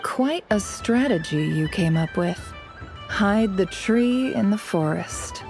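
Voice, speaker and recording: A woman's voice: mid-range voice, animated, slow pace, a little mellow. A shrewd game character, a woman of cool head and teasing temper. Her mid-range voice is dry and composed, with light music behind. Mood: wry, composed. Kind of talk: scripted video game acting.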